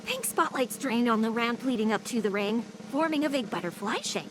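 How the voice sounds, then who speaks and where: Female story narrator, slightly high voice, scripted delivery, noticeable background noise. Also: animated, brisk. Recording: clearly noisy background.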